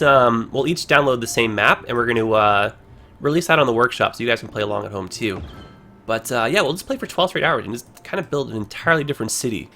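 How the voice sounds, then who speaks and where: A man casually commenting on a game he plays on a livestream, rambling with many fillers, his voice hoarse as he is losing it. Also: medium pitch, sharp tone, a little hiss or hum.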